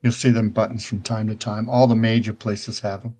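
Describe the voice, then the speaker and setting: A man's voice: on the low side, flat delivery, a little fast, mellow. Older man rambling as a casual show host. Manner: rambling, conversational, often checks in with "right?". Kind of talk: casual virtual-world tour and chat.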